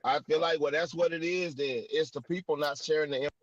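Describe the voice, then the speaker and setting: A high-pitched man who stumbles and restarts as he works toward his point in casual group talk. His audio is slightly muffled and dull. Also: fairly even intonation, fast, dry recording. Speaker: panelist. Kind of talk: casual panel chat.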